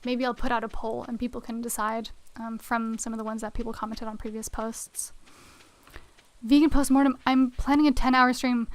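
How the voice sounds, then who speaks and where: A woman's voice: on the high side, level tone, moderate pace. A young woman streaming a video game, chatting casually and reading out chat messages, her voice a little worn. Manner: chatty, reads out chat messages, many fillers like "like". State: slightly losing her voice. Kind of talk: casual live-stream chat while gaming.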